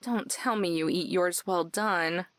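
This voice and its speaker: An actress playing a wry, easygoing, gently teasing young woman in a visual novel. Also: on the low side, measured pace.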